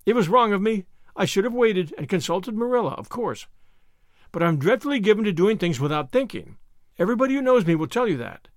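Storybook role: earnest, apologetic girl of sixteen, played by a man, high and animated. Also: a little fast, no echo, clean studio sound. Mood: anxious confession.

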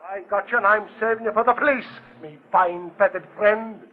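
A man's voice: high-pitched, steady tone, brisk. A man playing a scrappy, cocky detective's sidekick in a 1940s radio drama. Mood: triumphant. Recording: tinny phone-like 1940s radio recording.

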